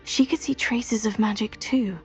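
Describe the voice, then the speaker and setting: An actress playing a composed, dutiful teenage witch student in a game, calm and respectful. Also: a little high, unhurried, faint music behind, close to an everyday voice. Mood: earnest, calm. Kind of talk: dramatic game cutscene acting.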